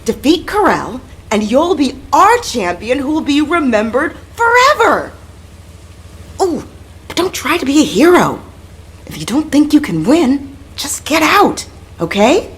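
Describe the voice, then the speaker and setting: A woman's voice: high voice, big pitch swings, unhurried. A woman voicing a spirited, blunt and protective young comrade in a video game, playful and frank. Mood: teasing, concerned.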